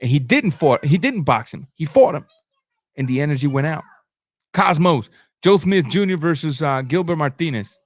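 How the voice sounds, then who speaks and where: A man's voice: a little high, expressive, normal speed, no room sound, studio quality. A man hosting a live boxing commentary stream, talking bluntly in informal slang and repeating phrases for emphasis. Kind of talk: live sports commentary, answering chat comments.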